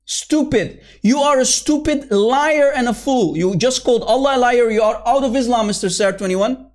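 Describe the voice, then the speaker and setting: A man's voice: very high-pitched, moderate pace, darkish tone. A man hosting an online debate, heated and scornful, calling opponents names and often addressing viewers as guys and brother. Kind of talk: combative online debate commentary. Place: at his computer.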